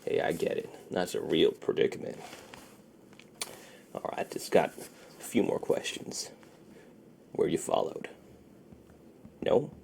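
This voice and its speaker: A man doing a soft-spoken ASMR roleplay as a shady, friendly underground dealer, with slow folksy patter that often drops to a near-whisper. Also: wildly swooping pitch, a little hiss or hum. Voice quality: gravelly, low, often breaking into near-whisper.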